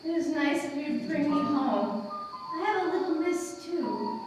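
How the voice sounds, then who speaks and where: A woman's voice: high voice, measured pace. A woman acting in a radio play as a playful, tipsy upper-class bride-to-be, teasing and proud. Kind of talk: live old-time radio play acting. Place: live stage performance in a hall. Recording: distant echoey hall, music behind. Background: loud dance music.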